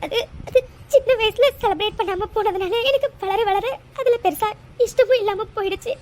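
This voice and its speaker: A high, tear-choked young woman's voice, from an actress playing a vulnerable orphan in a romantic drama. She stumbles and repeats her words while crying. A faint hiss sits under the recording. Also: a little mellow. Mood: tearful. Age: young adult. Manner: tearful, halting, repeats words.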